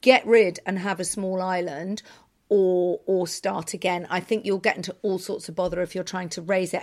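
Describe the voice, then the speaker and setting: A woman co-hosting a home design podcast, giving measured, decisive advice in casual chat. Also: fairly low pitch, darkish tone. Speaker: podcast co-host.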